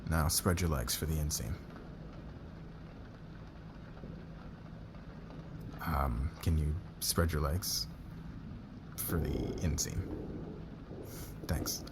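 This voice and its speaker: A man acting a demanding, curt fashion boss who gets flustered by attraction, in an ASMR roleplay with clipped orders and hesitant pauses. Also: extremely low pitch, noisy background. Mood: awkward, hushed.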